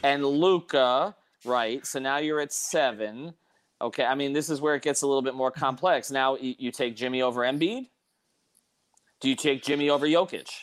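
A man's voice: medium pitch, moderate pace, clean tone. A man hosting a casual sports debate, thinking aloud in questions. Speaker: sports podcast host.

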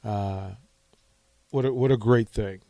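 A man's voice: fairly low pitch, slow pace, mellow, no echo, muffled recording. A man hosting a radio show in casual chat, often repeating phrases for emphasis. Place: radio studio.

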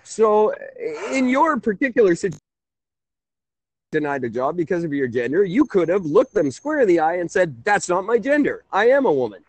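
A man's voice: sits high, sing-song, normal speed, no echo, rough recording. A man in his thirties hosting a casual call-in radio talk show, rambling and correcting himself. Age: 30s. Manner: rambling, chuckling, self-correcting.

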